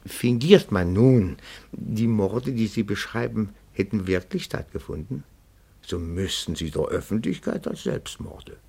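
An actor playing a timid yet nosy, pedantic petit-bourgeois detective in a radio play, speaking in a meticulous, florid, deferential way. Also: male voice, fairly low pitch, expressive, a little slow, vocal fry, a little mellow, slightly rough, full character voice. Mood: pedantic, admiring. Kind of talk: German radio play dialogue.

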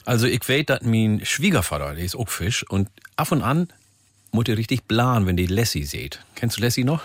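A man hosting a casual radio interview in a studio, chatty and teasing, firing off quick questions. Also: on the low side, big pitch swings. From northern Germany. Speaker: radio host. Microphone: studio microphone.

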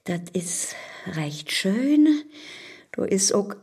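An actress playing a cunning, bold neighbour woman in a rhymed radio play, with a northern Low German accent and a sly, coaxing delivery. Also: big pitch swings, extremely slow, a little mellow, slightly rough, exaggerated voice. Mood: flirtatious coaxing. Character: Marte, cunning bold neighbour woman baiting the devil online. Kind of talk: audio drama acting in rhymed verse.

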